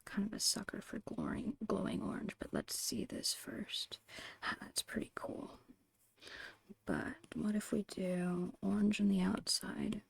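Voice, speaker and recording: A woman whispering a casual, gentle ASMR drawing tutorial in a breathy, unhurried voice. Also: mid-range voice, wildly swooping pitch, dry recording. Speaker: ASMR host and digital artist.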